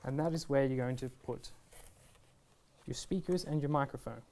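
Young guy doing a product how-to, mid pitch voice, easygoing n reassuring, explains step by step. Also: moderate pace, mellow, slight background noise. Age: 20s. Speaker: product reviewer and presenter. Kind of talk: casual step-by-step tutorial. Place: indoor shop video studio, standing at a table.

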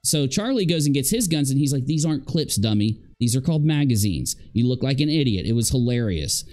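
A man's voice: medium pitch, sing-song, fairly quick, darkish tone. A man in his thirties giving casual, sarcastic and emphatic live commentary as a stream host. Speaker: livestream host. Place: indoor room at home.

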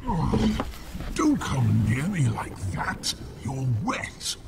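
An actor playing a droll, grumbling fire demon in a radio drama. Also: male voice, a little high, very animated, drawn-out words, vocal fry, very noisy, full character voice. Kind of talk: British radio drama acting.

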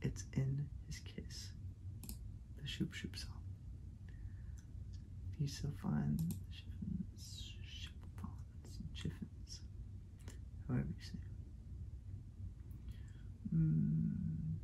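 An ASMR creator whispering in a breathy, creaky voice, playing a friend casually murmuring while browsing. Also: mid-range voice, flat delivery, extremely slow, long pauses, low-quality recording.

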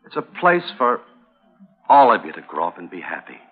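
An actor playing a steady, quietly firm western drifter hero in a 1950s radio drama. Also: male voice, low-pitched, normal speed. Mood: earnest, firm. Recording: narrow old radio broadcast.

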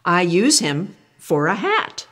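Lively older woman reading to kids. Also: fairly low pitch, slow. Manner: animated, exclamatory storyteller who asks the child questions. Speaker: children's book reader. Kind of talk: reading a picture book aloud to children.

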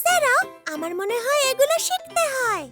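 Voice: extremely high pitch, very animated, moderate pace, over loud music. A performer voicing a playful, earnest small child with a chirpy way of talking in a children's cartoon. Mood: explaining.

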